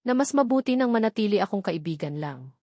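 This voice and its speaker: A woman's voice: mid-range voice, lively intonation, fast talker, darkish tone, dry recording, clean studio sound, lightly acted. Woman voicing an earnest, remorseful man in love. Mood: regretful, tender. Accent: Filipino. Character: remorseful, tender adult man confessing his love.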